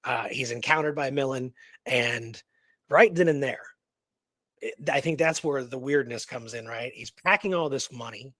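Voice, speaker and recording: A man's voice: mid-range voice, normal speed, a bit bright, no room sound. A man co-hosting a radio show in casual discussion, musing aloud with rhetorical questions and tags seeking agreement. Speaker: co-host of a history radio show. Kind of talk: casual conversational discussion of a historical mystery.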